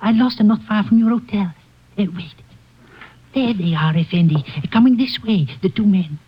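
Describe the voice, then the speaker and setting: A man's voice: high voice, moderate pace, lo-fi sound, close to an everyday voice. A man playing an anxious, secretive Middle Eastern bazaar merchant in an old-time radio drama, speaking formally and deferentially. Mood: urgent, frightened. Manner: formal, deferential, foreign phrasing. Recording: vintage radio broadcast, background noise.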